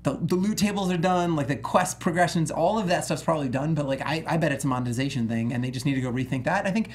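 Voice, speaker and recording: Male panel host, higher register, opinionated and emphatic, casual slang, some background noise. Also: fast. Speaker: gaming talk show host. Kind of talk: casual panel discussion, news commentary.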